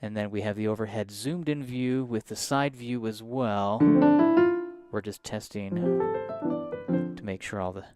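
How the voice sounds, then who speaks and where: A soft-spoken man, teaching a live piano lesson and explaining each step in a friendly way. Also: on the low side, a little slow, pauses often.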